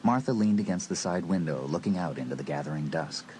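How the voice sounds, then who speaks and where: Deep-voiced male horror narrator. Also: lively intonation, fast talker. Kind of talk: scripted horror audiobook narration. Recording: audiobook tape with faint noise.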